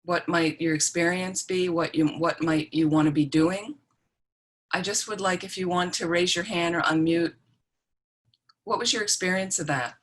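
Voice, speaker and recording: Lady w low voice teaching buddhist class on a video call, chatty n reassuring, checks in a lot. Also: steady tone, moderate pace. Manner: conversational, reassuring, checks in with "okay?" and "right?". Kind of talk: informal dharma teaching with questions to participants. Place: online group video call.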